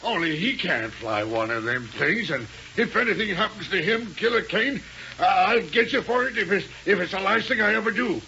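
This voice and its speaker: An actor playing a rough, simple, loyal sidekick in a 1930s radio serial, with folksy, ungrammatical, stammering protests. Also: male voice, high voice, lively intonation, normal speed, sharp tone, heavily acted. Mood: threatening, worried. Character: rough, simple, loyal rocket-ship sidekick, stubborn and indignant. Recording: dull, hissy 1930s radio recording.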